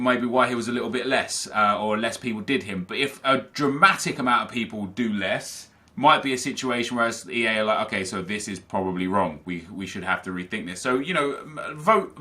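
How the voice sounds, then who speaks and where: Young guy on a gaming channel, bright forceful voice, chatty and opinionated. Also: medium pitch. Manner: energetic, opinionated, reads viewer comments aloud. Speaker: gaming YouTuber. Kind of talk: casual commentary to viewers.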